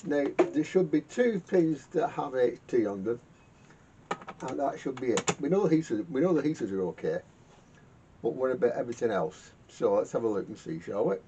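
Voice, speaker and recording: A man giving casual hands-on repair commentary in a halting way, muttering to himself and repeating words. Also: sing-song. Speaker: vintage radio repairer hosting a workshop video. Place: garage workshop. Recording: muffled lo-fi sound with rumbling noise.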